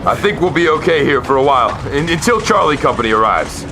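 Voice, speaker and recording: An actor in an amateur war audio drama playing a steady, commanding army sergeant. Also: male voice, fairly high pitch, fast, background noise. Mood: steadier.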